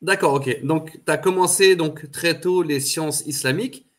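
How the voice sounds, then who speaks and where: Husky-voiced male interview host. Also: on the high side, a little slow, a bit bright. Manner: friendly, direct questioning. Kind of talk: casual interview chat.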